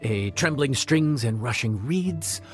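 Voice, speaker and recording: An actor playing a courteous, slightly flustered restaurant waiter in a video game, hesitant and folksy in manner. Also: male voice, medium pitch, lively intonation, takes it slowly. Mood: courteous, helpful. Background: game music.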